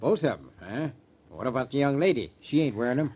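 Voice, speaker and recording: An actor playing a blunt, impatient small-town sheriff with a folksy American way of talking, in old-time radio drama style. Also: male voice, medium pitch, sing-song, a little fast, darkish tone, husky. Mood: suspicious. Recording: muffled old radio recording.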